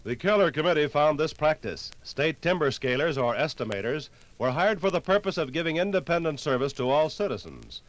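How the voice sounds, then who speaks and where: Male American narrator, vintage 1950s radio history program. Measured, formal scripted read, slightly high register. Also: no room sound, rough recording.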